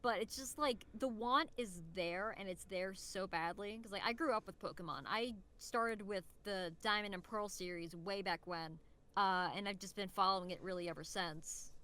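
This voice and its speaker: A young woman with a very bright, forward voice talks as a podcast guest. She is casual and eager, rambling through her thoughts and dropping "like" in often. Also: mid-range voice, moderate pace. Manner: enthusiastic, rambling, peppered with "like".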